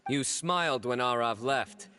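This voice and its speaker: A man playing a stern, composed young chief investigator in a video game, speaking deliberately with cool, accusatory command. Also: medium pitch, natural voice. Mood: coldly accusing. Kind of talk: dramatic video game voice acting. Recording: game music behind the voice.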